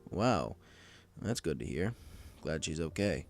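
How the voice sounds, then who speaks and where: A man's voice: very deep voice, very animated, slow pace, vocal fry, darkish tone, pitched below the performer's own voice, full character voice. A man voicing a troubled, stubborn pegasus father, weary and conversational, in a story reading. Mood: subdued. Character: troubled, stubborn adult male pegasus father, factory worker. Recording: faint music under the voice.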